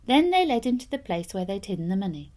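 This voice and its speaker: A woman reading a fairytale aloud as an expressive storyteller. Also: medium pitch, fast talker.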